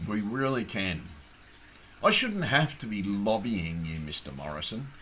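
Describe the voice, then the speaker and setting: Man, Australian accent, hoarse, croaky, ranting, blunt, swearing, bright forceful tone. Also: lowish voice, very animated, a little slow, slight background noise. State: hoarse, losing his voice. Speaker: activist. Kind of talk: impassioned direct-to-camera appeal.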